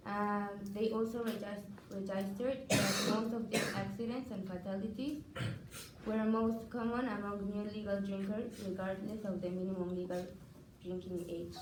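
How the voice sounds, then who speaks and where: A woman's voice: mid-range voice, fairly even intonation, normal speed. A young woman, presenting evidence as a student in a debate. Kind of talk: citing evidence in a classroom debate. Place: classroom. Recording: echoey room with clear background noise.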